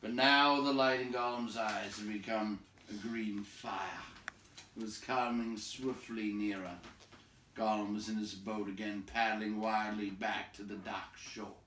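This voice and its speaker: A man reading a storybook aloud as expressive scripted narration. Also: on the low side, a little slow, slight background noise. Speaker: storybook reader. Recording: echoey room, distant from mic.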